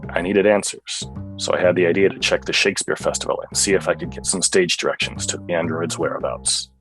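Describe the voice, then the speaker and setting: Casting: male actor as hard-boiled radio-play detective, low-voiced, boozy and dim, deadpan melodrama, light music underneath. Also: brisk, poor audio. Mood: brooding. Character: boozy, bitter, clueless male android-hunting detective. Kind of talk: noir radio play, narration and dialogue.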